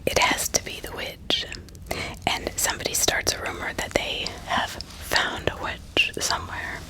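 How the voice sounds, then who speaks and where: A woman narrating true crime in a breathy ASMR whisper, deliberate and often repeating words and phrases. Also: rough recording. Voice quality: breathy, mostly unvoiced whisper. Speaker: ASMR host.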